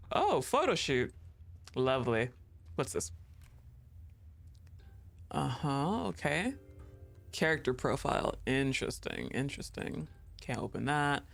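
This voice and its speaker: A woman's voice: very deep voice, creaky. A woman streamer giving casual, teasing commentary as she plays, chatting to the game and laughing often.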